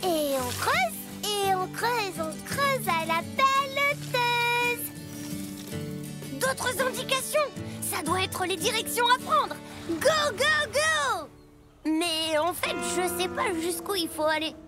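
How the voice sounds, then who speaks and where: Very high, excitable little kid truck. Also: slow pace, loud music behind the voice, exaggerated voice. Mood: eager. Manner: excitable, childlike. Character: eager little child trucks, curious and excitable. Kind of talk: children's cartoon voice acting.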